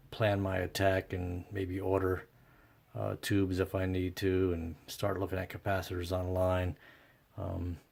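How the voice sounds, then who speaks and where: A man narrating his own hands-on repair work casually and unscripted, thinking aloud in a halting way. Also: low-pitched, gravelly. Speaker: host of a radio repair vlog.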